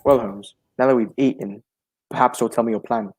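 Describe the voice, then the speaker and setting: An actor playing a detective's loyal, polite gentleman companion, mildly questioning, in an old-style radio drama. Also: medium pitch, steady tone, brisk, noisy background, natural voice. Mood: curious. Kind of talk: 1940s radio drama acting.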